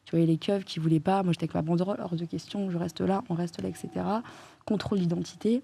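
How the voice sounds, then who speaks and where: A woman's voice: on the low side, fairly even intonation, fast talker, creaky, warm tone, slight background noise. A French woman talking as a guest in a casual chat, earnest and emphatic as she tells what happened to her. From France.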